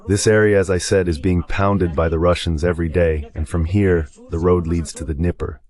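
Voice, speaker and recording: Man, deep low voice, guest analyst, explanatory, conversational asides, voice-over commentary. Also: steady tone, moderate pace, a little mellow. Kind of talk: live war commentary read as an AI voice-over translation.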